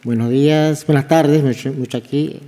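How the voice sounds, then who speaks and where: A man from Panama with a Kuna accent, an anthropology professor giving a halting conference talk that often repeats words. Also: on the high side, steady tone, dark tone. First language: Kuna (Guna). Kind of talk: conference presentation. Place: large conference hall. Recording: reverberant conference hall.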